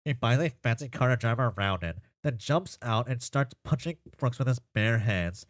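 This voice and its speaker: A man's voice: medium pitch, expressive, moderate pace, no room sound, clean studio sound, close to an everyday voice. A man playing an earnest, goofy, chatty boy full of silly opinions, rambling playfully in an amateur comic dub. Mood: opinionated, playful.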